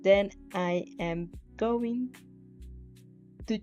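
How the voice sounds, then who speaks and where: A woman livestreaming in a soft voice, reading aloud and chatting in a rambling, self-interrupting way. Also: mid-range voice, drawn-out words. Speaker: streamer, fanfiction reader. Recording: slightly muffled audio with music behind.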